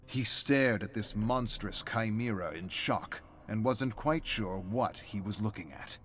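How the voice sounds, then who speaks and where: A man's voice: on the low side, expressive, a little slow, a bit bright. A man reading a dramatic story as scripted narration of game lore.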